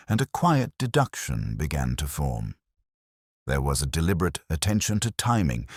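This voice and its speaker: Man, very deep voice, lively intonation, measured literary mystery narration, reflective. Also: no room sound, clean studio sound. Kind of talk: scripted first-person detective mystery narration.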